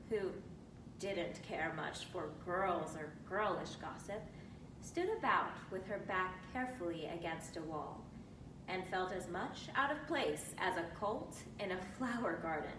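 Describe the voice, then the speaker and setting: A woman giving an expressive dramatic reading of a classic novel as narrator. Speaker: reader. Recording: roomy, distant mic with rumbling noise.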